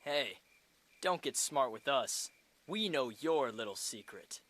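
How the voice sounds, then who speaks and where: Cocky, sharp-voiced jeering thug. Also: male voice, medium pitch, lively intonation, slow pace. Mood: menacing. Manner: taunting, swaggering. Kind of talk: video game voice acting.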